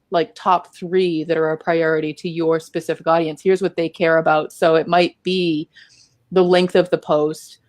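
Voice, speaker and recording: Low, gravelly Boston-accented woman. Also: dry recording, studio quality. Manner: explanatory, self-deprecating. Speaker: guest. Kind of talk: casual interview chat.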